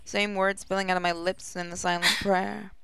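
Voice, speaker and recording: A woman gaming host reading a visual novel aloud as expressive first-person story narration. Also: medium pitch, moderate pace, creaky, clean tone. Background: music.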